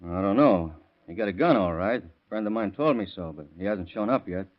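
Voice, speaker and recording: A man's voice: lowish voice, animated, brisk, lightly acted. A man playing a hot-tempered, blunt young father in a 1930s radio crime drama. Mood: defensive, blunt. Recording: dull lo-fi vintage radio recording.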